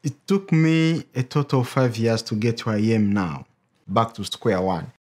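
A man's voice: medium pitch, moderate pace, darkish tone. A man in his forties giving a frank, earnest interview answer in a room, with small hesitations.

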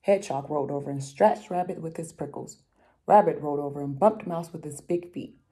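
A woman's voice: deep voice, fairly quick, warm tone, breathy edge. A young adult woman reading a picture book aloud to children, expressively, with chatty explanatory asides. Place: room at home, indoors.

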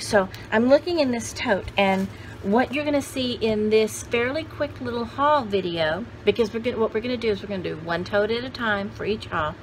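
A woman's voice: fairly high pitch, slight background noise, rough recording. A woman in her sixties chatting casually as a vlog host, with a folksy, jokey, rambling manner. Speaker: host of a vintage haul video channel. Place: indoor home studio room with shelves.

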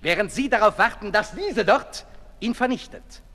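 An actor playing a solemn herald who declaims rhymed verse dramatically in a 1960s radio play. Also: male voice, high voice, animated, normal speed, bright, forceful tone. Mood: solemn, foreboding. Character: solemn male herald presenting a tragic scene in rhyme. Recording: reverberant 1960s radio recording. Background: music.

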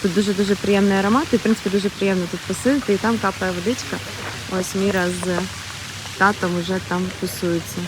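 A woman's voice: medium pitch, steady tone, quick pace, background noise. A woman narrating a casual family vlog as she walks through an outdoor park, talking to her camera. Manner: chatty, tour-guide narration to camera. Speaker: vlogger, mother.

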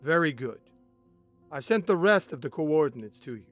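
A man voicing a calm mentor who gives the heroine brisk, encouraging instructions in a fan audio drama. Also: on the high side, soft background music.

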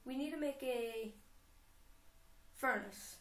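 A woman's voice: high voice, slow, fairly bright tone, roomy sound. A young woman casually commenting on her own game, rambling and giggling as she goes. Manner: chatty, rambling, giggly self-talk. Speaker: gamer. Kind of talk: casual let's play commentary.

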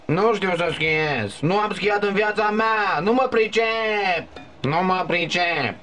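A man's voice: high-pitched, fairly bright tone. A man voicing a cocky, wisecracking boy who also narrates the cartoon, dubbed in Romanian. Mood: glum. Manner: sarcastic, quick retorts.

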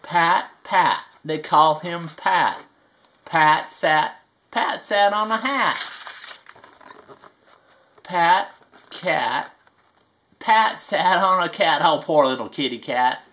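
A performer voicing a playful, folksy bayou alligator with a Southern US accent, reading a children's picture book aloud with drawn-out emphasis. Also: medium pitch, animated, sharp tone, slight background noise, exaggerated voice.

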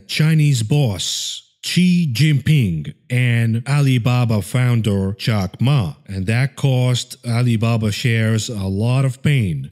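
A man's voice: medium pitch, darkish tone. A man hosting a stock market show, giving informal news commentary in a sardonic, tongue-in-cheek manner.

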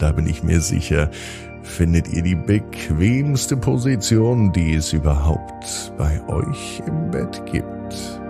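A man's voice: low-pitched, creaky. A man narrating a children's bedtime story in a soothing manner, drawing out words for emphasis.

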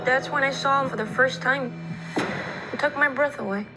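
A woman's voice: high voice, normal speed, over loud music, poor audio. A woman narrating a film trailer in a wistful storytelling manner.

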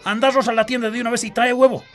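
Man acting a gruff, groggy Bolivian father. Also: extremely high pitch, flat delivery, racing, fairly bright tone. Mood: irritated, scolding. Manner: grumbling, commanding. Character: gruff, hungover, bullying father, quick to scold. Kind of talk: radio drama acting. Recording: radio drama with music underneath.

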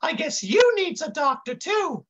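A man voicing a big, clueless young intern in a high voice, using old-time comic slang in a live story reading. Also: very animated, unhurried, fairly bright tone, heavily acted. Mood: confused, earnest. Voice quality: high-pitched. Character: big fat dim-witted male intern kid, innocently clueless.

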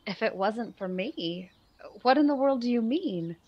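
An actress in a radio play playing a charming, youthful, breezy socialite with a casual, gushing way of talking. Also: female voice, a little high, expressive, measured pace, dry recording, lo-fi sound. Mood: baffled.

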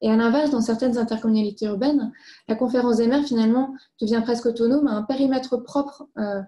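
A woman presents findings formally. Her voice sits fairly high and is very soft-edged and mellow. She explains things methodically, point by point. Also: fairly even intonation, fast talker.

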